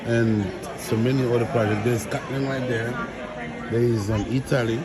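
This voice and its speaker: A man's voice: medium pitch, fairly even intonation, normal speed. A man chatting casually as an interviewer, exclaiming encouragingly and often repeating his phrases. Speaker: interviewer filming a school project fair. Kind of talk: casual chat, interviewing a child. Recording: loud crowded public room, noisy. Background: loud crowd chatter at a busy school event.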